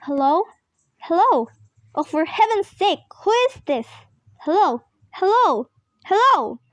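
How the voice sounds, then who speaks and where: A woman's voice: very high-pitched, big pitch swings, takes it slowly, no echo, exaggerated voice. A woman playing a nervous, demanding, self-pitying invalid wife in an amateur radio play, fretful and complaining. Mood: exasperated.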